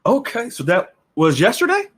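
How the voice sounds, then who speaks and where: A man hosting a casual call-in chat, animated and laughing often, with frequent stammering restarts. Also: high voice, moderate pace. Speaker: host.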